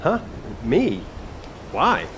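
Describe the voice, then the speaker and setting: A man's voice: medium pitch, huge pitch swings, very slow, fairly bright tone, a little hiss or hum, exaggerated voice. A man voicing a laid-back, sardonic young chef in a video game, speaking casually and expressively. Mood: flustered, defensive.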